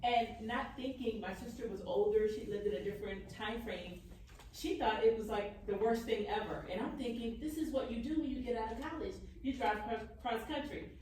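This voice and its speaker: A woman's voice: on the high side, moderate pace, a little mellow. A woman in an audience talking casually and personally, correcting herself as she goes. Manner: conversational, self-correcting. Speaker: audience member. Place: audience of an indoor hall. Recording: heavily echoing hall, distant, faint noise.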